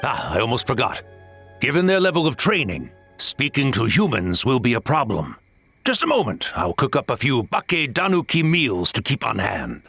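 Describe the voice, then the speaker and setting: A man's voice: medium pitch, wildly swooping pitch, moderate pace, gravelly, bright, forceful tone, husky, faint music behind, exaggerated voice. A man playing a fussy, proud older tanuki spirit in a video game, theatrical and grumbling. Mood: fussy, stern. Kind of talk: animated video game voice acting.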